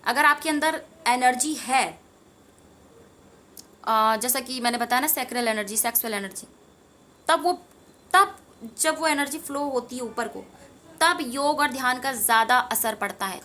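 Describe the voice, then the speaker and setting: A woman's voice: high voice, normal speed, pushed and bright. A woman giving an informal spiritual talk to viewers, earnest and rambling, repeating phrases for emphasis. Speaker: tarot reader and meditation teacher.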